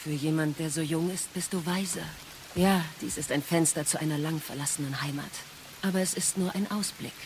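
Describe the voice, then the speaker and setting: A woman playing a wise, sorrowful exiled goddess and mother in an audio drama, speaking in a measured, solemn way. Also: low voice, background noise. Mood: gentle. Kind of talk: dramatic audio play acting.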